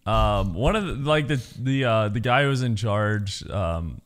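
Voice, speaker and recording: A man telling a story in casual podcast chat, relaxed and full of fillers. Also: fairly low pitch, unhurried. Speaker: podcast co-host.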